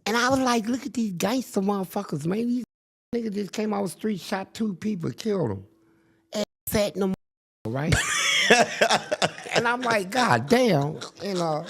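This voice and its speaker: An older man, a guest in a casual interview, telling crude, boastful stories and acting out what others said. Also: high-pitched. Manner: crude, boastful, animated storytelling with acted-out quotes.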